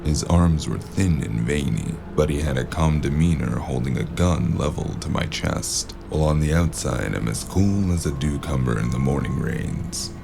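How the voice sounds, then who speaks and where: A man playing a weary, sardonic hard-boiled investigator, narrating a noir audio drama in a gravelly, deadpan way. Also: rumbling low, moderate pace, hardly any pauses, over music, heavily acted. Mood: brooding, wry. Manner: deadpan, dry, brooding.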